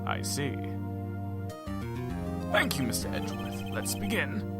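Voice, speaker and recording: A man's voice: on the high side, big pitch swings, measured pace, over loud music. A man playing a solemn, ponderous older judge in an amateur courtroom game dub, stately and musing. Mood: pondering.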